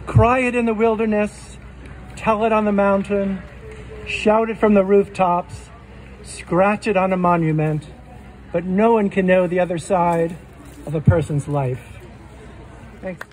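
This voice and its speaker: High-voiced man reading rhymed verse slowly. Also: steady tone, a little mellow. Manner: deliberate, rhythmic delivery of rhymed verse. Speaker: poet. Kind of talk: poetry reading. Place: outdoor stage under trees at a festival. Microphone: stand mic on stage, filmed by phone. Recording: noisy outdoor phone recording. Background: outdoor street ambience.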